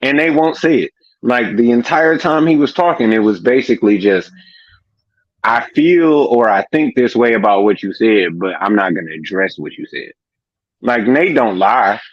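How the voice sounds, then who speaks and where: A man's voice: mid-range voice, animated, normal speed, no echo. A man in his early thirties talking casually as a livestream guest, loose and slangy, with lots of "like". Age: 30s. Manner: loose slangy talk full of "like". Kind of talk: casual chat. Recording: dull lo-fi remote audio.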